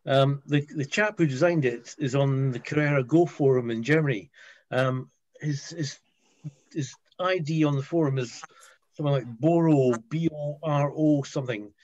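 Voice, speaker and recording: A man giving a halting, casual technical explanation on a group video call, repeating words as he goes. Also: mid-range voice, darkish tone, no room sound. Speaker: participant in a hobby group chat. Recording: dull, muffled video call audio.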